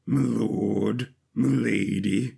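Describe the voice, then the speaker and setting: Man acting out a ruthless king, sardonic, husky, creaky voice, deliberate formal condescending delivery, story character. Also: on the low side, steady tone, mellow, heavily acted. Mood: measured, formal. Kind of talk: audio fiction character voice.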